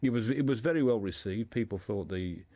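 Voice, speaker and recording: Low-voiced man, halting explainer. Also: dark tone, no echo. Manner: halting, repeats words as he explains. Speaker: guest discussing a writer. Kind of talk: informal radio discussion.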